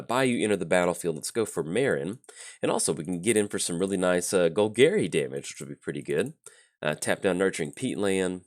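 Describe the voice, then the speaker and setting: Man, raspy morning voice, very low pitch, lively swings in tone, chatty card game commentary. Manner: upbeat, chatty, with fillers. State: voice not yet warmed up. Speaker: gaming channel host.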